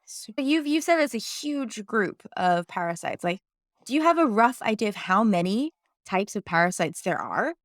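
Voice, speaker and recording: A woman's voice: mid-range voice, very animated, normal speed, no echo, studio quality. A woman co-hosting a podcast, chatting playfully with the guests and often saying "like". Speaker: podcast co-host. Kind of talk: casual podcast chat.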